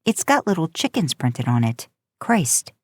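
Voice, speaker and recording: A woman's voice: low voice, big pitch swings, a little slow, dry recording, voice pushed down, exaggerated voice. A woman voicing a guarded, wisecracking teenage boy, a tough older brother, slangy and offhand, in a story reading. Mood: protesting.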